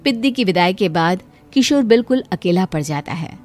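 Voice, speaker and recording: A woman's voice: medium pitch, expressive, moderate pace. A woman narrating a scripted emotional moral story as a storyteller. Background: background music.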